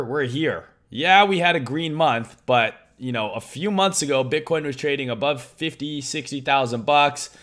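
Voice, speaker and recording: Hoarse young man, loud trading streamer. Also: mid-range voice. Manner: loud, excitable, swears casually. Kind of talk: casual live-stream chart commentary.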